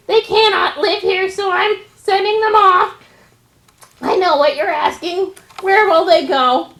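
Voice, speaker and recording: Puppet-show forest creature: old, grumpy, scolding, very high voice, slow and emphatic rhyming delivery. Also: female voice, a bit bright, heavily acted. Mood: pleading, coughing. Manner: emphatic, stammering, indignant delivery in rhyme. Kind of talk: children's puppet show storytelling.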